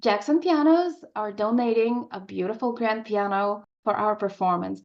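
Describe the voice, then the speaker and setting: A woman's voice: medium pitch, normal speed. Woman composer with dull, lo-fi sound. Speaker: opera composer, interview guest. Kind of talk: radio interview conversation.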